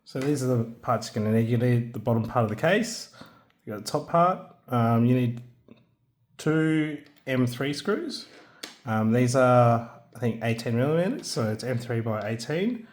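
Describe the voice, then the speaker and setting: A man's voice: mid-range voice, lively intonation, moderate pace. A man with a young son, showing a handheld radio kit in a casual, hesitant way with frequent ums and restarts. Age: adult, has a young son. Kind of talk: casual hands-on product demo.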